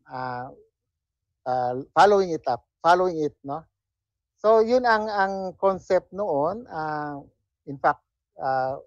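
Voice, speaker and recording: A man's voice: fairly high pitch, dry recording. Older Filipino man, dull call audio. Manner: halting, frequent tag "no?". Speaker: interview guest, former government official. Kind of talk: interview answers mixing English and Tagalog. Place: indoor room, video call.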